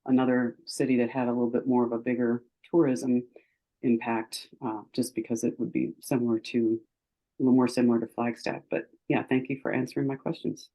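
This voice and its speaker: A man in a webinar audience making a casual request in a tentative, halting way. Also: mid-range voice, flat delivery, dark tone, poor audio. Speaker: audience member asking questions.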